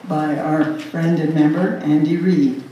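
A woman's voice: sits low, steady tone, measured pace. An older woman speaking as service leader, introducing a reader at a church service. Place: church sanctuary, at a pulpit. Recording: muffled, echoey room from far off, faint noise.

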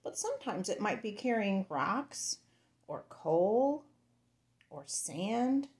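A woman's voice: mid-range voice, big pitch swings, slow, a little mellow. A woman reading a picture book aloud to small children, sing-song and playful, speaking to them directly. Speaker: children's storyteller.

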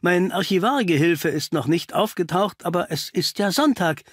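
Storybook village doctor, played by a male narrator: rattled, halting, pitched high, slightly husky. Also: lively intonation, no room sound, clean studio sound. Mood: uneasy. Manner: agitated, halting. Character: flustered, rattled village doctor and museum keeper, man.

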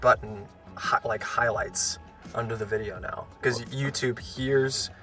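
A man's voice: medium pitch, steady tone, a little slow, sharp tone, soft background music. A man in his twenties chatting casually in a joking, rambling way, as a vlogger in a car. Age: 20s. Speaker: vlogger and host. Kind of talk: casual chat. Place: inside a parked car. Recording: a bit distant, some background noise.

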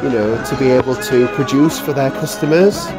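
A woman's voice: rumbling low, a little fast, over music, low-quality recording. A woman from Europe chatting as co-host, agreeable and full of "you know"s. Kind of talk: casual conversation.

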